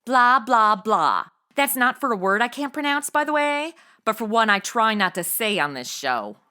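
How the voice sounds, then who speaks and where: A woman's voice: a little high, sing-song, moderate pace, bright, forceful tone, clean tone. An American woman playing a chatty, flippant modern rookie investigator in a comedy audio drama, breezy and casual.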